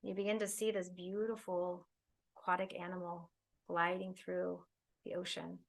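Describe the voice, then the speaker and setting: Female meditation guide, slightly low register with plenty of vocal fry, soothing and unhurried delivery. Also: flat delivery. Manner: soothing, unhurried phrases with long pauses. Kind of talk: guided meditation, spoken slowly to listeners.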